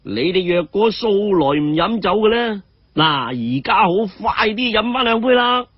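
A man's voice: sits high, lively intonation, moderate pace, no room sound, dull audio. A man voicing a cheeky, carefree young swordsman who drinks and teases brashly in a martial-arts story. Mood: brash, teasing.

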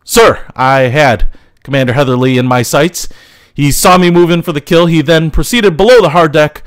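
A man's voice: a little high, moderate pace, no echo, close to an everyday voice. Man playing Maverick, cocky young fighter pilot. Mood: defiant. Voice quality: brash, self-assured. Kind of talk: 1980s film acting.

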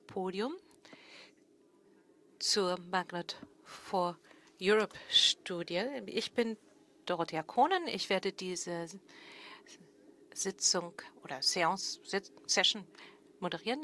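A woman's voice: medium pitch, slow, pauses often, a bit bright, slight background noise. A woman in her thirties from Germany, moderating a panel and presenting a research project on a large conference stage with a lectern microphone, explaining steadily with a few fillers and self-corrections.

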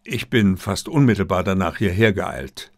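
A man voicing a formal, discreet Japanese diplomat in an audiobook, with courteous, measured wording. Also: deep voice, expressive, no room sound, clean studio sound. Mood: grave. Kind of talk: audiobook character dialogue.

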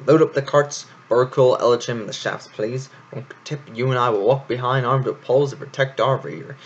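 A man reading aloud, voicing a pompous, theatrical male hare who leads a band of traveling players, in a booming, grandly dramatic manner. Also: moderate pace, muffled recording, close to an everyday voice. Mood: commanding.

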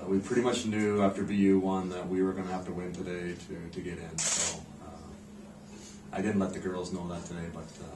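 A North American man speaks as a coach at a press conference, in a low, level voice. He is measured and understated, pausing as he goes. The mic sits back from him in a noisy room. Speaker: head ice hockey coach. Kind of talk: post-game press conference answers. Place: indoor press room in front of a backdrop. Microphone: camera microphone at a distance. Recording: roomy, distant mic, clear background noise.

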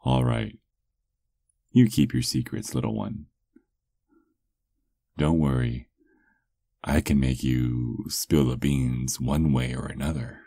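A man's voice: extremely low pitch, lively intonation, vocal fry, dry recording, heavily acted. A man playing a doting, teasing vampire lover in an intimate, murmured ASMR roleplay, close to the microphone. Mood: playful. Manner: slow, intimate murmuring with soft chuckles. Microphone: close microphone, dry.

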